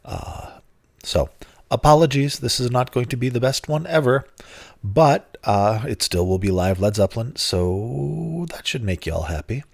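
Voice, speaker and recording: A middle-aged man hosting his own podcast in casual, grumpy, self-mocking talk, his voice hoarse and lower than usual from bronchitis. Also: animated, unhurried, no room sound, clean studio sound. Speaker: podcast host.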